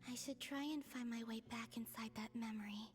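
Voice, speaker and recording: Actress as hushed, wistful young woman. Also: fairly high pitch, steady tone, a little fast, soft background music, natural voice. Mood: weary, determined. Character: gentle, weary, wistful young woman musing alone.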